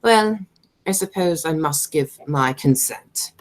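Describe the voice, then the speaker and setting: Radio-play aristocrat played by a woman: snobbish, money-minded aunt, pompous delivery on the low side, wildly varied intonation. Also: expressive, slow pace. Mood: grandly condescending. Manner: pompous, declamatory, stumbles over words. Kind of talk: stage comedy as amateur radio play.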